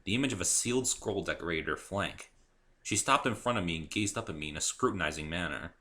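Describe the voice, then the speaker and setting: A man's voice: low-pitched, fast, bright, forceful tone. A man reading a first-person story aloud as a dramatic narration. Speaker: amateur reader and author of the story.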